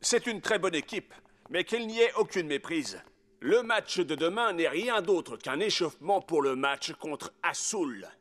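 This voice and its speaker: A man's voice: fairly high pitch, lively intonation, a little slow, a bit bright. A man voicing a serious football coach in a cartoon dub, firm and instructive. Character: serious male football coach briefing his team. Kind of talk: French cartoon dubbing.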